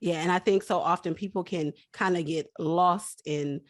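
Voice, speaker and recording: A woman's voice: on the low side, steady tone, normal speed, dry recording, studio quality. A woman guest on a faith-based interview, speaking about personal branding in an earnest, encouraging way.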